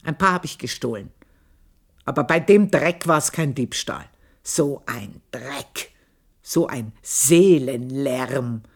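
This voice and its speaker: An Austrian actress playing a proud, jealous village-born maidservant, telling her story in a confiding, earthy radio monologue with drawn-out emphasis. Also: rumbling low, lively intonation, husky, heavily acted. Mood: sly, defiant. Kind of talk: dramatic first-person radio monologue, literary storytelling.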